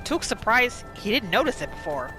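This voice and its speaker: A woman giving casual, chatty roleplay commentary as the host of a gaming channel, narrating in an expressive way. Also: medium pitch, normal speed, music in the background. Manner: expressive, chatty, narrating characters' thoughts in third person.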